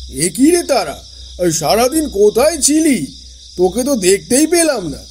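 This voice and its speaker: A man's voice: very high-pitched, big pitch swings, measured pace, a little mellow, a little hiss or hum, heavily acted. A man playing an evasive, irritable elder brother in a horror audio drama, scolding and insistent. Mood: feigned casualness. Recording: music under the voice.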